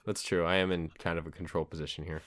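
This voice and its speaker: A man's voice: sits low, level tone, fast. A man hosting a casual podcast chat, with a wry, joking way of talking. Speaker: podcast host.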